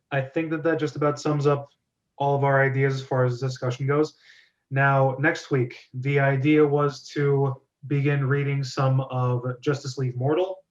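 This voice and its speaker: A man hosting a casual group discussion over an online call. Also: medium pitch. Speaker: host. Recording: dull lo-fi call audio.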